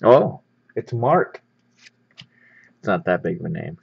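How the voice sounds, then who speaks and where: A man's voice: deep voice, very animated, slow, gravelly, slightly rough. A man hosting a casual unboxing video with an upbeat, easygoing manner, talking through what he handles. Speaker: host of a sports card pack-opening show. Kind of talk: casual hands-on unboxing commentary. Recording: slightly dull, lo-fi microphone.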